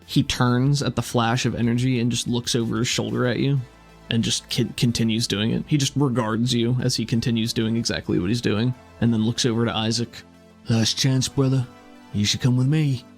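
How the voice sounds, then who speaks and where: A man's voice: medium pitch, flat delivery, a little fast, gravelly. A man narrating a tabletop roleplaying game as game master, describing scenes and calling for rolls. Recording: music behind the voice. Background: background music.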